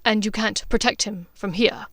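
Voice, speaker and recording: A woman voicing a frustrated young wizard son in an audiobook, asking questions roughly. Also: mid-range voice, fairly even intonation, normal speed, a bit bright, dry recording, lightly acted. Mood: rough, doubtful. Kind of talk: audiobook character dialogue.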